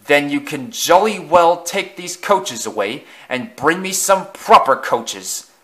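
A man's voice: fairly high pitch, expressive, takes it slowly, fairly bright tone, pitched above the performer's own voice. A man voicing a proud, snobbish male steam engine who hisses with indignation in a storybook reading. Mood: haughty. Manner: haughty, indignant hissing.

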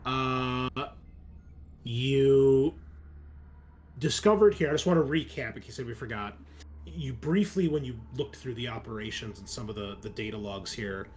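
A man narrating a tabletop roleplaying game as game master, improvising and explaining things in a halting way. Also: mid-range voice, a little hiss or hum.

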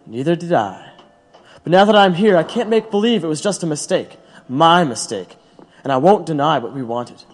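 A man plays a principled, defiant labor radical in an audio play. The voice is fairly high for a man, earnest and rhetorical with a bitter edge, in a long hollow reverb. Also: lively intonation, moderate pace. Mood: grave, resolute. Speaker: actor in an audio drama. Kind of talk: dramatic audio play acting.

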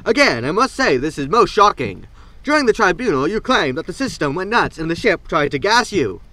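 Man playing smug sci-fi comedy newsman, high-pitched, swooping intonation, pushy, theatrical. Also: very animated, brisk, bright, forceful tone, no room sound, full character voice. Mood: eager, shocked. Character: brash, smug, pushy male news reporter. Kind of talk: science fiction comedy audio drama.

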